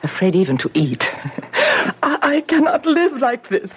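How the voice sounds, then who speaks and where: An actress playing a young, fragile yet imperious ballerina with a Russian accent in a 1970s radio drama. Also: female voice, a little high, sing-song, moderate pace, husky. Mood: frightened, pleading. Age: young adult. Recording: muffled 1970s radio broadcast recording.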